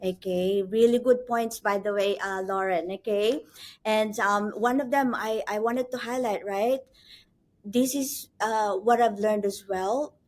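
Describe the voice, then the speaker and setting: A woman's voice: mid-range voice, steady tone, measured pace, a little mellow. A woman hosting a webinar for nurses in a chatty, encouraging way, with lots of asides and fillers. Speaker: host.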